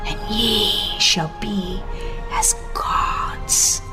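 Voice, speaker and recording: A woman voicing a cunning, persuasive serpent tempter in a dramatized religious audiobook, with an insinuating, drawn-out delivery. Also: medium pitch, over loud music. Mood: sly tempting.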